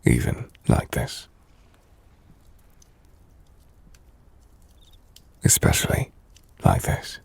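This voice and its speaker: A man playing a brooding, gentle, melancholy vampire-blooded castle lord in an intimate ASMR audio roleplay, hushed and husky, speaking slowly in measured, formal phrases. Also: natural voice. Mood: brooding, confiding. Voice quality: gravelly, husky, breathy.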